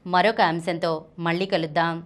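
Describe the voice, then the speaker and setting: A woman's voice: lowish voice, fairly even intonation, normal speed. A woman presents a health explainer, reading scripted narration aloud. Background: music.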